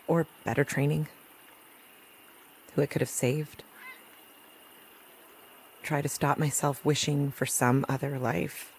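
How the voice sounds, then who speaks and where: An actress playing a weary, dry, no-nonsense rural doctor in an audio drama. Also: female voice, low voice, vocal fry, slight background noise, poor audio. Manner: dry, deadpan. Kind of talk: audio drama acting with recorded diary narration.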